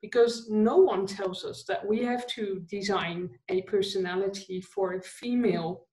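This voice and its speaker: A woman's voice: mid-range voice, fairly even intonation, measured pace, warm tone. Dutch woman, English second language, engaged podcast guest, roomy-sounding recording. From Netherlands. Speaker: guest, conversation designer. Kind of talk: podcast interview. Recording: roomy echo.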